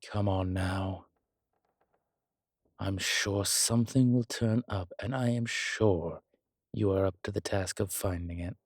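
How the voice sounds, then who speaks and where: A man playing a long-lived demonology professor in an audio roleplay, calm, protective and gently wry, speaking in a measured, deliberate way. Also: deep voice, no room sound, clean studio sound. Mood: wry, encouraging. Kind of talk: slow intimate audio roleplay acting.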